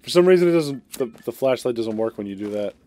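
A man's voice: mid-range voice, animated, fast, dark tone, slight background noise. A man streaming a horror game, chatting casually with a friend and muttering to himself with sighs. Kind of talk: casual gaming chat.

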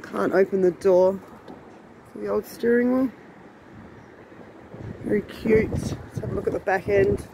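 A woman's voice: medium pitch, fairly even intonation, a little slow, vocal fry, long pauses. Chatty woman in wind noise. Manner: chatty, admiring, musing aloud with rhetorical questions. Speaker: vlogger. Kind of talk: casual walk-along vlog commentary. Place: outdoor car wrecking yard. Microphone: handheld phone held while walking. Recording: windy, noisy outdoor phone recording.

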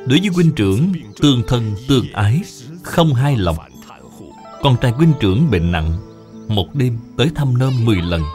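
A man narrating an animated moral tale of ancient China in a solemn, scripted style. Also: medium pitch, normal speed, faint music behind, lightly acted.

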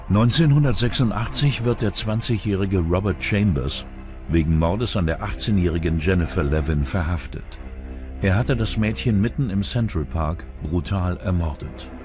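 A man's voice: low-pitched, normal speed, over music. A man reads scripted true-crime documentary narration.

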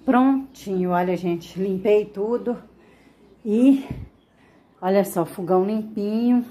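A chatty middle-aged woman from Brazil talks straight to her viewers as she works, her voice at an ordinary middle pitch. She keeps checking in with little tag questions. She is recorded indoors in a small home kitchen by a wide camera set in the corner. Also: slow, music in the background. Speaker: home vlogger. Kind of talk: casual chat to camera. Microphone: wide camera mounted in kitchen corner.

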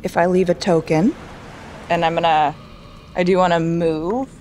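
A woman casually role-playing as a player in a tabletop game, haltingly thinking aloud as she describes her actions. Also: fairly low pitch, fairly even intonation, a little mellow, faint music behind.

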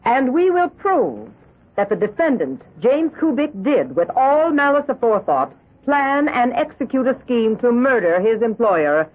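An actress playing a stern prosecutor in a 1970s radio drama, formal, deliberate and pointed. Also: female voice, fairly high pitch, sing-song. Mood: stern, probing. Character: stern female prosecutor in a courtroom. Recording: muffled 1970s radio broadcast.

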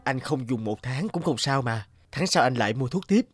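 A man voicing a gentle, caring husband and father in a cartoon, soft-spoken and reassuring. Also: medium pitch, lively intonation, moderate pace, slightly rough, no room sound, clean studio sound, faint music behind. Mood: hesitant. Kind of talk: animated story voice acting.

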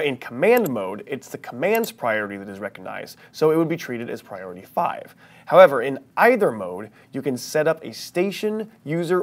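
An American man in his thirties presenting a scripted but conversational software tutorial in an animated, playful way. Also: on the high side. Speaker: software training instructor.

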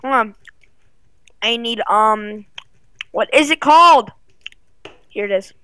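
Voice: medium pitch, slow pace, pushed and bright, clean tone, no echo. A child or young teen chatting casually and playfully as a co-player in a game. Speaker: co-player guest. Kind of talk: casual gaming chat.

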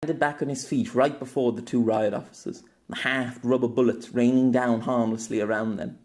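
A man's voice: medium pitch, steady tone, fairly quick, a little mellow. A man reading dramatic scripted narration as an audiobook narrator.

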